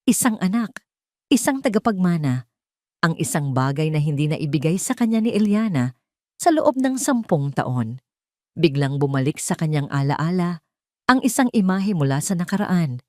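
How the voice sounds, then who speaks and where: A woman's voice: fairly low pitch, very animated, moderate pace, a little mellow, no echo, clean studio sound. A woman reading scripted, dramatic narration for an audio story.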